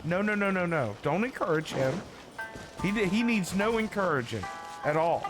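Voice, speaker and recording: A man doing casual live stream commentary on a game, rambling and halting as he repeats his words. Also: high voice, lively intonation. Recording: game music behind the voice.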